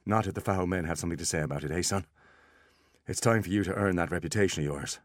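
A man's voice: low-pitched, fast talker, no room sound, studio quality, close to an everyday voice. A man voicing a desperate, earnest father in an audiobook, shaken and urgently appealing to his son. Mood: urgent, bluff.

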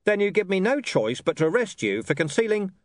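A man voicing a stern, gruff watch commander who presses hard with his questions. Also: high-pitched, sing-song, a little fast, dry recording, pitched above the performer's own voice.

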